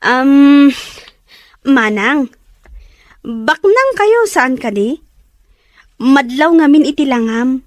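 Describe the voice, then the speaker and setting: Actress as a high-voiced, curious Ilocano younger sister. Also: female voice, lively intonation, very slow, long pauses, dry recording, full character voice. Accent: Filipino, Ilocano. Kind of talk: Ilocano radio drama acting.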